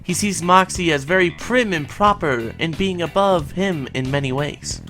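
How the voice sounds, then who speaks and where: A theatrical woman with a forceful bright voice, the kind narrating a fan breakdown video over background music. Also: lowish voice, moderate pace. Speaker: co-host of a fan recap. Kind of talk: semi-scripted episode commentary.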